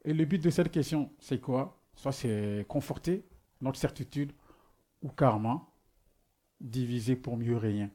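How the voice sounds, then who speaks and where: A man's voice: mid-range voice, a little slow, warm tone. A man who is not a native speaker preaches a sermon, asking rhetorical questions and often restarting as he searches for words. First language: not French.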